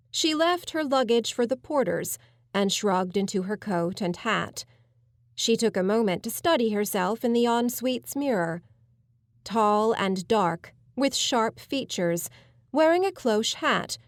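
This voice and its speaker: A woman reading a novel as an audiobook narrator, with a measured, polished literary delivery. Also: medium pitch, no room sound, studio quality. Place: studio, dry and close.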